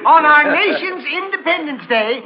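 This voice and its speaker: A jovial, folksy homesteader in a radio western, talks in a very high, hearty voice. A noisy crowd is all around him. The old broadcast sounds dull. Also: male voice, expressive, moderate pace. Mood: jovial, teasing. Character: jovial male homesteader at a celebration. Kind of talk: 1950s radio drama acting.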